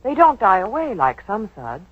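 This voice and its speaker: A woman's voice: medium pitch, huge pitch swings, normal speed, no echo. Female commercial voice, 1940s radio, knowing, swooping intonation, muffled vintage broadcast. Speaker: commercial spokeswoman. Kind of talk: 1940s scripted radio advertising dialogue.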